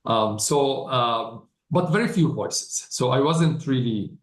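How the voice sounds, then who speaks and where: A man speaking as a writer on a live panel, deliberate and thoughtful with frequent hesitant pauses. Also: medium pitch, lively intonation. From Palestinian. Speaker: novelist, festival panelist. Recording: echoey live event room.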